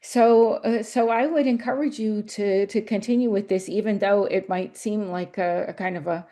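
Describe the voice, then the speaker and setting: A woman's voice: medium pitch, steady tone, moderate pace, warm tone. A woman in the audience making a comment on a video call, hesitant and full of repeated words and restarts. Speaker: audience member asking questions. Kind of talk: casual remarks during a Q&A.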